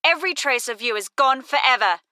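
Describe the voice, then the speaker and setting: A woman acting in an audio drama as a cunning, sharp-tongued wife who plays the wronged party. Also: sits high, lively intonation, brisk, no room sound, studio quality. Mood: cold, bitter.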